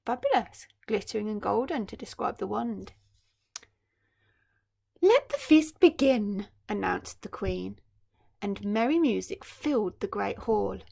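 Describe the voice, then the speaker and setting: A woman's voice: mid-range voice, moderate pace, creaky, a little mellow, slightly rough. A woman primary school teacher reading a story expressively, with explanatory asides for young children.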